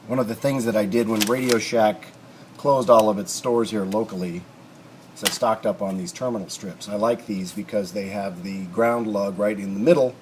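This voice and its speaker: A man giving an unscripted, casual workshop tutorial, rambling and thinking aloud in a folksy way as he works. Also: fairly low pitch. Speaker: hobbyist restorer demonstrating repairs. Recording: clear background noise, home workshop video.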